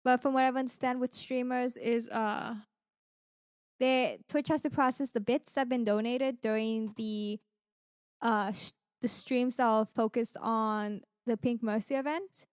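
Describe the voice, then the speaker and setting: A woman's voice: on the high side, measured pace, clean tone, dry recording. A woman co-hosting a podcast in casual chat, rambling along and acting out little stories as she retells them. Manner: rambling, animated retelling with acted-out quotes. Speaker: podcast co-host. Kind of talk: casual chat about gaming streams and giveaways.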